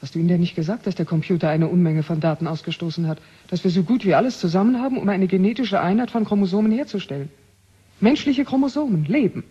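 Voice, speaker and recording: An eager, anxious male researcher in a vintage radio play. His voice is high, mellow and very husky, with an excitable, questioning manner. It rings in a long reverb on a dull old recording. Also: fast talker. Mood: agitated, eager. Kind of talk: German radio play acting, 1970.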